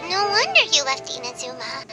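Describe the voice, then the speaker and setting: Squeaky, excitable little fairy girl. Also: fairly quick. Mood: sympathetic. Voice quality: squeaky, childlike. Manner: exclaiming, refers to herself in third person. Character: Paimon, small childlike floating fairy companion, excitable and eager. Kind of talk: anime video game dubbing. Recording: game music playing behind.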